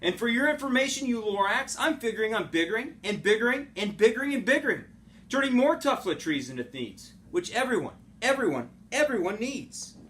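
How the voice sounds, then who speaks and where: A man's voice: extremely high pitch, very animated, a little fast, pitched above the performer's own voice, full character voice. A man voicing a stubborn, greedy storybook businessman creature who blusters defiantly and justifies himself. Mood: angry, defiant. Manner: defiant, loud, self-justifying. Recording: home recording with clear background noise.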